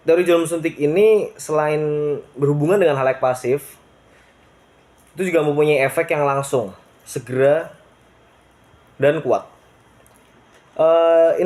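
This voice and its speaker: Young man explaining a theory, slightly high. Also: a little mellow. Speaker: student presenter. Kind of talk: class presentation.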